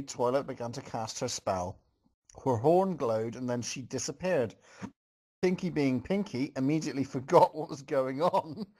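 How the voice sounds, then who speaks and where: A man's voice: mid-range voice, mellow. A man reading a story aloud to a group as host, with amused, joking asides.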